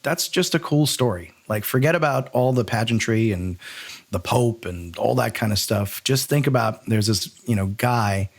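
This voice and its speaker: A middle-aged American man with a fairly low voice hosts a casual interview. He talks in a discursive, informal way, working out his thoughts aloud as he goes. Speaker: podcast host.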